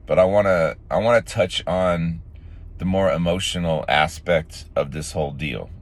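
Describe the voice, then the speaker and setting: A man with a raspy, sore-throated voice, casually talking to his viewers in a rambling vlog with many fillers and restarts. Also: low-pitched, normal speed. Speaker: vlogger. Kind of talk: casual morning vlog talk.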